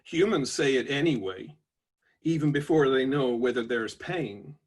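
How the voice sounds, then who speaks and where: Man putting on a slow, husky, twangy robot father. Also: medium pitch, animated. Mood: dry, wry. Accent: American-style twang. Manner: slow, deliberate, pausing delivery. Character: plain-spoken male robot labourer, reflective, wryly stoic father. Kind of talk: book reading of aphoristic notebook entries.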